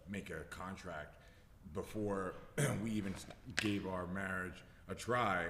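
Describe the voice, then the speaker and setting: A man's voice: deep voice, slow, reverberant, long reverb tail, background noise. A man speaking hesitantly about his marriage in a casual discussion, with frequent restarts.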